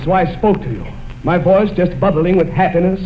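High-pitched theatrical man in old radio comedy. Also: steady tone, fairly quick, a little hiss or hum. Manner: mock-romantic, theatrical, repeats phrases for effect. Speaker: comedy radio show host. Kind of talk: 1940s radio comedy monologue and banter. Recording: muffled old radio recording, music behind. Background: music.

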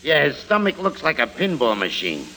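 A man's voice: fairly high pitch, wildly swooping pitch, normal speed, pushed and bright, full character voice. A man delivering gags as a sidekick in 1940s radio comedy patter on a hissy old recording. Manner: gag-telling sidekick delivery.

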